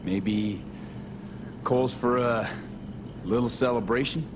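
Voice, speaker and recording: A man's voice: medium pitch, slow, recorded from a distance, noisy background, close to an everyday voice. An actor playing a laid-back, wry, streetwise young male mercenary with casual, clipped phrasing in a video game drama. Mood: suggestive, wry.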